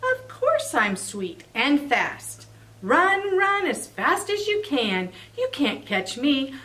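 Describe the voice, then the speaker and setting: A woman doing a shrill, boastful cookie boy. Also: very animated, slow, a bit bright, distant mic, exaggerated voice. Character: boastful, stubborn little male sugar cookie. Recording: voice a bit off-mic.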